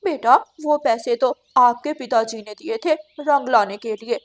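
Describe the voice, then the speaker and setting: High-voiced, domineering crow wife for a kids' cartoon, stingy and curt, voiced by a woman. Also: unhurried, natural voice. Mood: firm. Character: bossy, scheming wife crow, stingy and dismissive. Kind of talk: Hindi children's cartoon dubbing.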